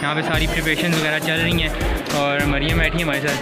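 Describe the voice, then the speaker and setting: A young man chatting casually and playfully as a vlogger, directing his friends in an animated, bantering way. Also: fairly high pitch, quick pace. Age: young adult. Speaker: vlogger and cameraman. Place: university corridor and campus grounds. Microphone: handheld camera at arm's length. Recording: loud music, busy crowd behind.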